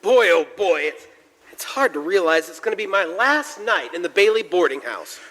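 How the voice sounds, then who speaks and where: Man acting an eager, high-strung, stammering young dreamer. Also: moderate pace, full character voice. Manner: stammering, earnest, excitable. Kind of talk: 1940s radio drama acting. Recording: reverberant church hall, live stage.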